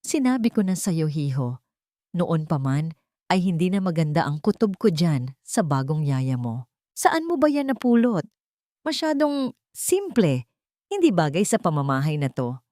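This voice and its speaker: A woman's voice: deep voice, very animated, unhurried, dark tone, no room sound, studio quality. A woman voicing a nosy, melodramatic older neighbor woman in an audio story, with a dramatic, meddling manner. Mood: suspicious, disdainful.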